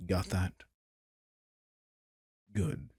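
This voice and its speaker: A man playing a possessive, sardonic vampire king in an intimate roleplay audio drama, teasing and condescending with a husky, breathy edge. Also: extremely slow, warm tone, dry recording, studio quality. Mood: menacing, wary. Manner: teasing, condescending, casually profane.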